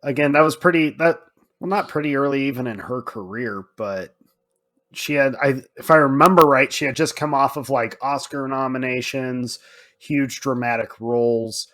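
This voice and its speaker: A man's voice: mid-range voice, normal speed, fairly bright tone. A man co-hosting a podcast, chatting casually about films in an informal, emphatic way that repeats points for stress. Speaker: podcast co-host. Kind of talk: casual film discussion.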